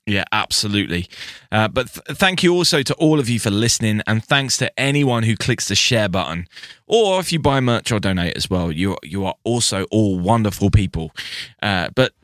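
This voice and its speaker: A man's voice: fairly low pitch, animated, moderate pace, fairly bright tone, no room sound. A British man co-hosting a podcast in casual chat, talking in bursts with frequent restarts and easy laughter. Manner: chatty, restarts often, laughs easily. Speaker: podcast co-host.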